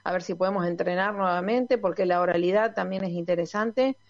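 A woman's voice: on the low side, fairly quick, highs cut off. A woman radio host, chatting casually with guests, full of fillers and tag questions.

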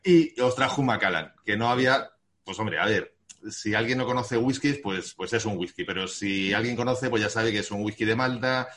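A man's voice: fairly low pitch, moderate pace, fairly bright tone. Chatty laughing Spanish podcast man. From Spain. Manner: chatty, self-deprecating, laughs often. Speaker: podcast co-host. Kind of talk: casual group chat. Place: remote podcast recording.

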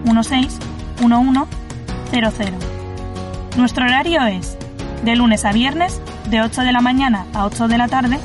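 High-voiced Spanish woman announcer over music. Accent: Spanish from Spain. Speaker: announcer of a public service message. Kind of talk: scripted public service announcement.

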